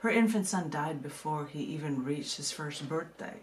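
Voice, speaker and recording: A woman poet from the United States reading her own work and talking casually about it, in a measured way with dramatic pauses. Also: low voice, animated, slight background noise. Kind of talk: poetry reading with casual asides.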